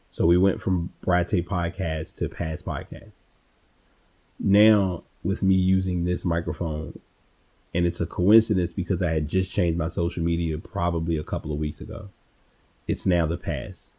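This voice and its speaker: A man hosting a solo podcast, speaking in a casual, reflective, unscripted way, often halting and repeating words, with a husky voice. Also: low-pitched, dark tone. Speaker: podcast host.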